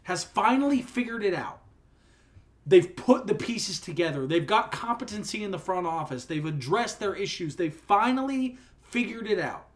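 A man's voice: high voice, very animated, normal speed. A young man co-hosting a sports podcast, teasing his friend and speaking earnestly about sports stories. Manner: teasing, then earnest and reflective. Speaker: podcast co-host. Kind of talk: casual sports chat.

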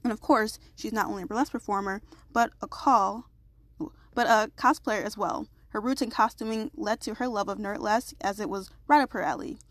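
A woman host who first reads a guest introduction and then chats casually over a remote call, bubbly and quick to laugh, a little congested. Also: medium pitch, a bit bright, no room sound. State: slightly congested. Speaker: podcast host.

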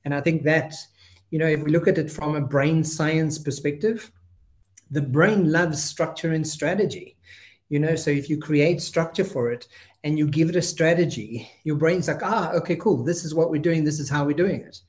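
A male interview host with a fairly high voice. He rambles enthusiastically, filling his talk with "you know" and restarts. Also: moderate pace, darkish tone. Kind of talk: conversational interview.

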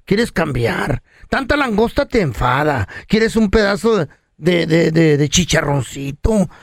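A man's voice: fairly high pitch, very animated, a little slow, gravelly, no echo, studio quality. A man trading crude, playful wisecracks as a radio co-host. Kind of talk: joking radio banter.

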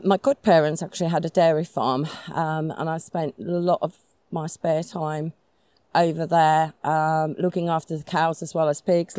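A middle-aged Finnish-accented woman with a low, mellow voice talks in an easy, searching way, stopping on ums and restarting her sentences. The sound is close and dry, though she is out in a forest. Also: no echo. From Finland. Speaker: guest, society chairman. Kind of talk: conversational interview. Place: outdoors in a forest.

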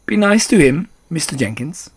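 A man voicing a calm, frosty old Norwegian grandmother in a storybook, matter-of-fact and unflustered. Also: on the high side, normal speed, breathy edge, voice pushed up.

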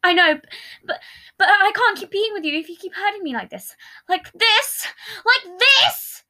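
Jealous controlling boyfriend done by a woman, really high voice, emotional n accusing, audio drama story. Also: brisk, fairly bright tone. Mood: hurt, yelling.

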